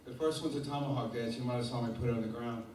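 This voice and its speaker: A man's voice: medium pitch, fairly even intonation, racing, a little mellow. Male storyteller addressing a hall audience, plain and friendly, with heavy room echo and a faint hiss. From Mescalero Apache. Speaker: performer and storyteller. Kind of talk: live talk to an audience. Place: lecture hall before an audience. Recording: echoey hall mic heard from far off.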